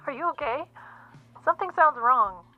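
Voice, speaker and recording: An actress playing a brisk, eager literary agent, chatty and pushy over a telephone in an audio drama. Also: female voice, high voice, animated, soft background music. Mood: concerned. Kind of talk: audio drama phone conversation. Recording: dull, band-limited phone call sound.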